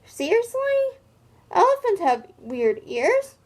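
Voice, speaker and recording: Lady doing a big bossy boy elephant from a kids book, high voice, loud slow insults. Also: big pitch swings, heavily acted. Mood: incredulous. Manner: loud, emphatic, taunting. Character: big, brash male elephant bully, smirking and insulting. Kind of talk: children's storybook read-aloud.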